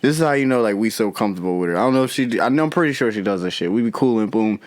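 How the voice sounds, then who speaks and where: A man in his late twenties with a fairly low voice, chatting on a podcast as a rapper guest. He tells stories in an animated way, curses freely and acts out the scenes. The sound is close and dry. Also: very fast, no room sound. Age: 20s. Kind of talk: casual podcast chat.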